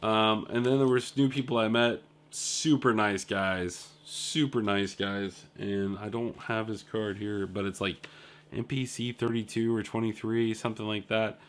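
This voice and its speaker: Rambling low-voiced male vlogger. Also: fairly even intonation, unhurried. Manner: rambling storytelling with filler words and asides. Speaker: indie game developer. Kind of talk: casual video diary recap.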